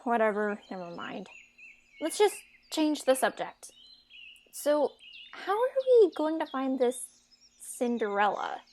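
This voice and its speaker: A woman acts out an exasperated young heroine of a fairytale audio drama. Her voice is high and swings up and down a lot. She speaks casually and drips sarcasm. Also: sing-song, takes it slowly, long pauses, darkish tone, slight background noise, exaggerated voice. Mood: irritated, dismissive. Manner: sarcastic, modern casual speech.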